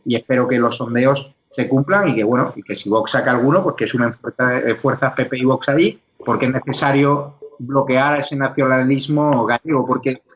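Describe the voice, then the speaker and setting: A man from Spain hosting a political talk show, emphatic and quick to run through lists. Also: medium pitch, fairly even intonation, poor audio. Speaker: show host.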